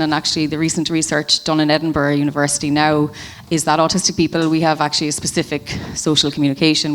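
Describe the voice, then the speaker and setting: A woman in her forties talks as a panel guest. Her voice sits very low, and she weighs each thought, filling pauses with ums. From Ireland. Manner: thoughtful, frequent ums, measured. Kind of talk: panel discussion.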